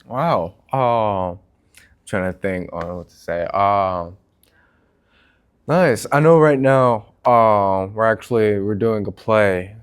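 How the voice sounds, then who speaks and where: A man with a slightly low voice hosts a relaxed, informal chat. He speaks hesitantly and often searches for his next words. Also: slow pace, clean tone. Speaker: channel host. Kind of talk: casual chat.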